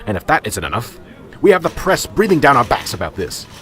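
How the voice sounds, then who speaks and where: A man's voice: medium pitch, sing-song, fairly quick, a bit bright, soft background music. Man acting a blustery, stressed small-town police sergeant. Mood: irritated ranting. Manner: ranting, blustery, exclaiming in folksy mild oaths. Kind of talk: radio drama acting.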